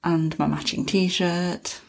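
A woman's voice: fairly low pitch, flat delivery, slow pace, gravelly. A woman co-hosting a casual music podcast, chatting in an upbeat, fan-like way. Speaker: podcast co-host.

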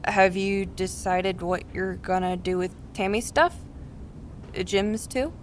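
A woman's voice: mid-range voice, slow, a little hiss or hum, close to an everyday voice. A woman playing a wry, practical, supportive best friend in her thirties, in naturalistic audio drama dialogue. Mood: gentle, encouraging. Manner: casual, wry, encouraging.

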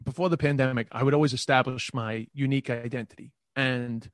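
A man's voice: mid-range voice, fairly even intonation, a little fast, no echo, clean studio sound. A man with a New York accent, a comedian talking casually in an interview and often restarting his sentences. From New York, Queens. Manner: rambling, repeats words and restarts. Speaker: comedian guest.

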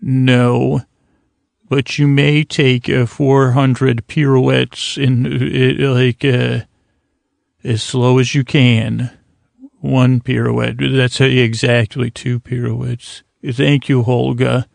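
A man with a creaky, gravelly voice hosting a sleep podcast, rambling haltingly and often restarting or abandoning his phrases. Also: mid-range voice, flat delivery, dry recording. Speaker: podcast host. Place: dry podcast studio.

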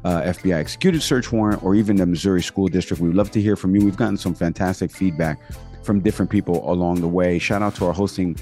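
Low-voiced American man talking news. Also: fast talker, warm tone, soft background music. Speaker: podcast co-host. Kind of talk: casual news commentary.

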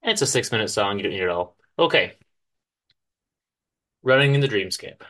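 A man giving a dramatic reading of a short story, in a dry, measured, formal manner. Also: fairly low pitch, animated, a bit bright, faint music behind. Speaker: author-narrator reading his own story on a podcast.

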